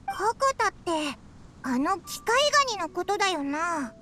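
Tiny, very high-pitched fairy girl. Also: expressive, unhurried, sharp tone, a little hiss or hum, full character voice. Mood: puzzled. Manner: cutesy, inquisitive, tomboyish phrasing. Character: tiny childlike floating fairy girl, curious and blunt. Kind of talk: cute anime game voice acting.

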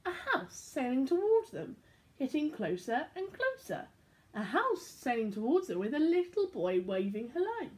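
A woman in her twenties reading a children's story aloud expressively, shifting between hushed and excited delivery. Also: sits high, moderate pace. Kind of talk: animated picture-book read-aloud. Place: small domestic room indoors.

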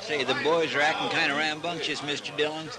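A man's voice: on the high side, level tone, brisk, a crowd in the background, natural voice. A man playing an earnest, naive, folksy American marshal's helper in a 1950s radio western. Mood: puzzled. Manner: folksy drawl, deferential. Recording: noisy vintage 1950s radio recording.

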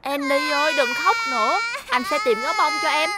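A woman's voice: sits high, brisk, heavy background noise, lightly acted. A woman voicing an earnest, fretful, kind-hearted young male school bus in a children's cartoon. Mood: reassuring. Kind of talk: dubbed children's cartoon acting.

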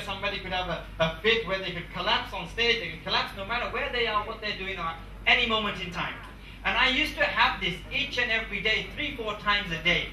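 A man's voice: sits high, bright, forceful tone, far from the mic, a little hiss or hum. Rousing man in an echoey room. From England. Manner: emphatic, repetitive, rousing. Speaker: motivational speaker. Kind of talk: motivational speech to students. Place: large conference room, indoors. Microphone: handheld mic, far camera. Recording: echoey room, recorded from afar.

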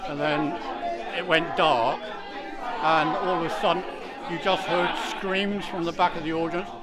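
Older man, sixties, reminiscing theatregoer, slightly high voice, loud foyer crowd. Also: steady tone, a little slow. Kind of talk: recounting a memory.

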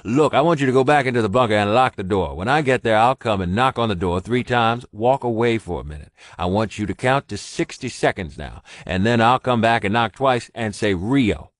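A man voicing a gruff, commanding adult male wolf shifter who speaks loud and husky. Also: expressive, fairly quick, few pauses, no room sound, studio quality, close to an everyday voice. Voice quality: deep husky voice.

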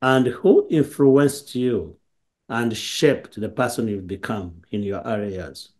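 Middle-aged man, interview host, slow deliberate questions, video call from indoors. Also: mid-range voice, darkish tone. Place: indoor room.

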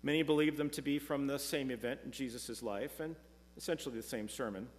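A middle-aged man preaching a sermon from a pulpit, earnest and measured, slowing down when reading scripture. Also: medium pitch. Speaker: pastor. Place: church sanctuary, speaking from a pulpit. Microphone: pulpit mic, far camera. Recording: long church reverb.

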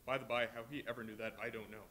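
An actor playing a gentle, grieving father speaking tenderly to his family on stage. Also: medium pitch, little pitch movement, rapid-fire, close to an everyday voice. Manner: tender, mournful. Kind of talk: live stage play reading.

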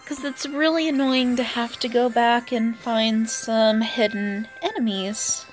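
A woman's voice: fairly high pitch, steady tone, a little slow. A tired American woman giving chatty, casual gameplay commentary, thinking aloud as she plays. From US East Coast. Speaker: gaming video host. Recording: game music behind the voice. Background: video game music.

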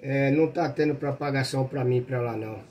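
A man's voice: medium pitch, fairly quick, lo-fi sound. A Brazilian man talking casually as an amateur operator, heard over a two-way radio. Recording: faint radio noise.

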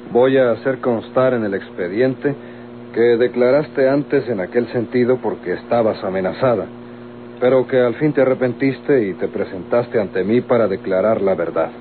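An actor playing a stern, officious public prosecutor in a Mexican radio soap opera, with a Mexican accent and formal, authoritative phrasing. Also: male voice, medium pitch, natural voice. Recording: tinny old radio recording, clearly noisy.

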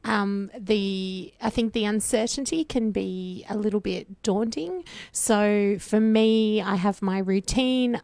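A woman's voice: mid-range voice, darkish tone, dry recording. A woman in her thirties with an Australian accent, a teacher chatting casually on a panel into a handheld mic in a church studio. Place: church studio set, indoors. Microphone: handheld microphone.

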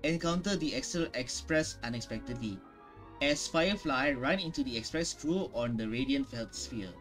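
A man commentates casually on a game he is playing. He talks slowly, half to himself, repeating phrases, with game music clearly behind him. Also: medium pitch, lively intonation, a bit bright, poor audio. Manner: muttering to self, repeats phrases. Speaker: gaming YouTuber.

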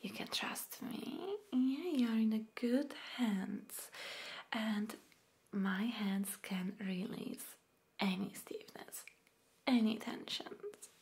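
A young woman whispering softly and soothingly in a close-miked ASMR roleplay as a massage therapist, breathy and gentle. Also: mid-range voice, takes it slowly. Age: young adult. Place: small dim room indoors. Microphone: close microphone off camera.

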